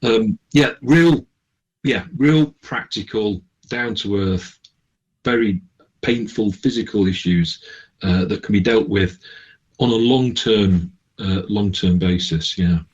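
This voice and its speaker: A man's voice: lowish voice, slow, slightly rough, dull audio. A man answering as a radio interview guest, hesitant and often restarting as he searches for words. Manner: hesitant, searching for words with repeated false starts. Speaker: charity manager, interview guest.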